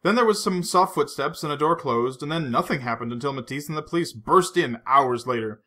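A man's voice: a little high, lively intonation, fairly quick, sharp tone. A man reading aloud and voicing a shaken, apologetic young woman with an earnest, well-spoken manner. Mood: recounting.

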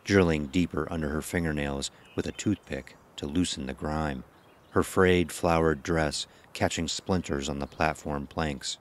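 A man's voice: a bass voice, steady tone, unhurried. A man narrating a scripted horror story as its storyteller.

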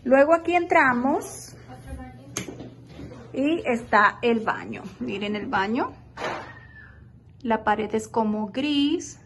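A woman's voice: on the high side, animated, takes it slowly, pauses often, background noise. Chatty woman giving a phone-filmed house tour. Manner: chatty, enthusiastic, addresses viewers as girls. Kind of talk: casual house-tour vlog. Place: indoors in a furnished beach rental house. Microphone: phone held while walking.

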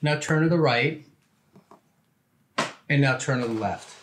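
A man's voice: mid-range voice, measured pace. A middle-aged man, a chiropractor, giving casual, short, plain hands-on instructions to a patient. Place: small indoor treatment office. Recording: clearly noisy room with clicks.

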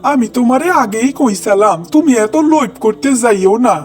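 Honest, long-suffering village husband , man acting, Bangladeshi accent, high plaintive cartoon voice. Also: lively intonation, moderate pace. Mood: reproachful.

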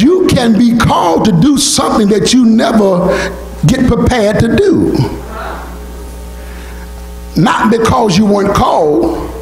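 A middle-aged man, a Baptist pastor with a gravelly voice, preaching fervently and emphatically with repeated phrases into a handheld microphone in a church. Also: sits high. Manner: emphatic, repetitive, call-and-response preaching. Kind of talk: fervent sermon to a congregation. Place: church sanctuary, at the pulpit. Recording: echoey church PA with music.

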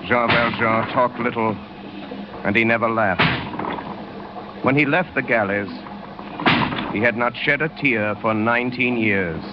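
A man narrating a 1930s radio drama as a grave storyteller, in solemn, measured, dramatic short phrases. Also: medium pitch, close to an everyday voice. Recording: dull, noisy 1930s radio recording.

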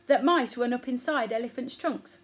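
A woman's voice: fairly high pitch, moderate pace, close to an everyday voice. A woman voicing a cheeky, confident little mouse in a children's story, chatty, brash and teasing.

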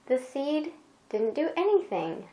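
A woman telling a children's bedtime story in an unhurried, expressive way, as if to a child beside her. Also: sits high, roomy sound, rough recording.

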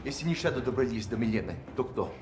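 A man's voice: mid-range voice, expressive, very fast, natural voice. A man playing a dutiful, intense militant lieutenant in a military game, urgent and earnest. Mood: suspicious. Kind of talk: cinematic game villain acting. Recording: loud music under dialogue.

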